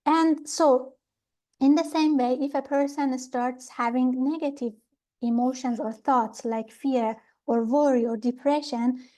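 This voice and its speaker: A middle-aged woman from Iran calmly explaining pranic healing ideas in an interview, pausing often with fillers and restarts. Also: high-pitched. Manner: calm, thoughtful, with many fillers and restarts.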